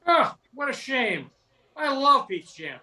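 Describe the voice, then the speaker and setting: A man's voice: very high-pitched, sing-song, slow, pushed and bright, full character voice. An older man playing a hardworking, mild, apologetic suburban husband in his thirties in an amateur radio play. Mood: mild regret.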